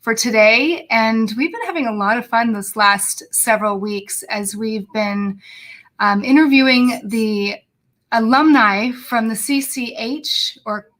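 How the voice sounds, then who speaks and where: Woman, podcast host, bubbly, chatty, a little high voice, video call audio. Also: unhurried, a bit bright. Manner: bubbly, chatty, many fillers and restarts. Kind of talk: casual live podcast chat.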